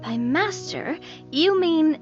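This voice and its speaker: A woman voicing a lively, expressive young female character in a video game, theatrical and decisive. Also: high-pitched, takes it slowly. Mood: flustered, animated. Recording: muffled game audio over music.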